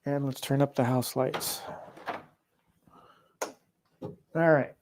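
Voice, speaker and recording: A man's voice: mid-range voice, fairly even intonation, slow pace, background noise. A middle-aged man hosting a casual livestream from his home arcade room, rambling with many restarts. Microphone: lavalier mic.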